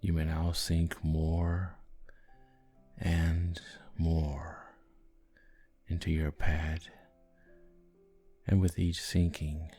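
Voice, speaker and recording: A man with a low, mellow voice leads a guided sleep hypnosis over music. His delivery is soothing and gentle, with little rise or fall in tone. He leaves long pauses and softens at times toward a breathy near-whisper. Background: background music.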